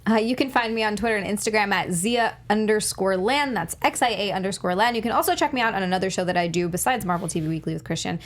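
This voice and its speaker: A woman with a mid-pitched voice chats on an entertainment panel. Her delivery is casual and conversational, like someone sharing opinions among colleagues. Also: fast talker, hardly any pauses. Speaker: panelist on a movie news show.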